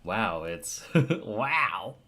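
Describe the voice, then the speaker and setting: Deep-voiced man casually streaming a game. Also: sing-song, very slow, noisy background. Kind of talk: casual gameplay commentary.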